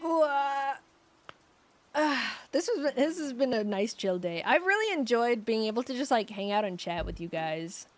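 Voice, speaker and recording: A woman's voice: a little high, sing-song, moderate pace. A young woman streamer in casual chat with her viewers, rambling and giggly with lots of fillers, her voice getting scratchy.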